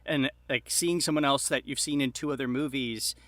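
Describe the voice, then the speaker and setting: A man speaking as a podcast guest in casual chat, excitable and rambling, often correcting himself. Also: mid-range voice, normal speed, no room sound. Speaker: podcast guest, audio drama creator.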